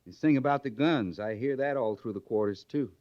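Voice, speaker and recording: An actor playing a stern, plain-spoken cavalry sergeant with folksy grammar in an old radio western. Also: male voice, medium pitch, fairly quick, a little mellow, no echo, close to an everyday voice. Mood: dutiful. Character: gruff, no-nonsense cavalry sergeant, loyal and stern. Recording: muffled vintage radio recording.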